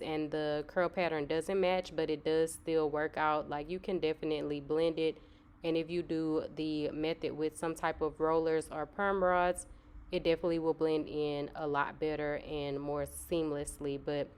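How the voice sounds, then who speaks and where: A woman's voice: deep voice, clean tone. A young woman, a little nasal from a cold, chatting casually through a hair tutorial. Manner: relaxed, chatty, filler-heavy. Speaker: hair vlogger.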